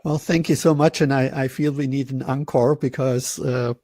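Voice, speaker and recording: German guy hosting a webinar over video call, rough husky voice, rambles and cuts himself off, dry sound. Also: mid-range voice, steady tone, normal speed, dark tone, no echo. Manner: rambling, self-interrupting, chuckles. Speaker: host. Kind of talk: casual webinar chat.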